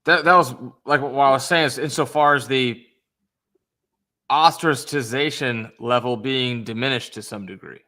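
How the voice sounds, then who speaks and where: A man's voice: mid-range voice, moderate pace, sharp tone. A man co-hosting a podcast in casual debate, speaking in wordy, academic phrases and hedging his points.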